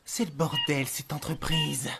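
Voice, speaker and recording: A woman's voice: low-pitched, animated, measured pace, creaky, background noise, full character voice. Woman as prim, exasperated cartoon demon husband. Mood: disapproving. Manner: prim, indignant, formal. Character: uptight, exasperated, lovestruck male demon husband. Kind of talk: animated cartoon dubbing.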